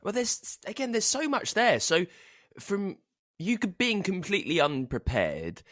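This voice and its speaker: A man's voice: high voice, big pitch swings, measured pace, fairly bright tone, no room sound, studio quality. A man hosting a conversational interview, working his way reflectively into long, roundabout questions. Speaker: podcast host interviewing authors.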